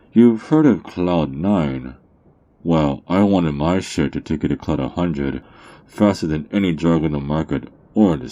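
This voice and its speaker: A man playing a sly, mocking underground drug dealer in an ASMR roleplay, teasing and smug, with theatrical drawn-out words. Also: a bass voice, dark tone, muffled recording. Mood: sly, boastful. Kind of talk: ASMR character roleplay monologue.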